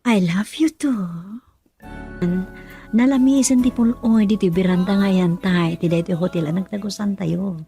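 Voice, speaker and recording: A woman's voice: medium pitch, measured pace, mellow, faint music behind, close to an everyday voice. A young woman playing a stubborn, lovestruck daughter in a radio drama. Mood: tender.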